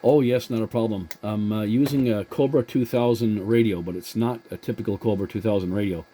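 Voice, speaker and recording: A man chatting as a CB radio operator in a courteous, halting manner. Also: fairly low pitch, steady tone, warm tone, slight background noise. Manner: courteous, halting with many hesitations. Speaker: CB radio operator and channel host. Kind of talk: casual two-way radio chat.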